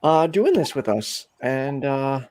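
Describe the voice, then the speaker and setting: A man's voice: medium pitch, big pitch swings, slow pace, darkish tone. A man hosting a call-in show, speaking casually and stumbling over his words. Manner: hesitant, filler-heavy thanks. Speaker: host.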